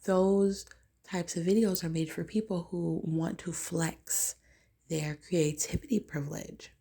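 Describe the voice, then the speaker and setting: A woman vlogger with a raspy voice, talking in a loose, rambling casual monologue full of fillers. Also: on the low side, fairly even intonation, measured pace, mellow.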